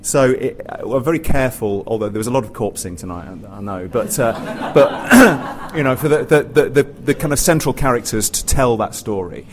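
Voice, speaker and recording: A man with a medium-pitched voice talks informally as a theatre practitioner on a panel. He rambles, restarting and repeating phrases with plenty of "you know". There is noticeable noise behind him from the live recording. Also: moderate pace, hardly any pauses. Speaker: pantomime writer, performer and director on a discussion panel. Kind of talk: unscripted panel discussion. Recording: noisy live room recording.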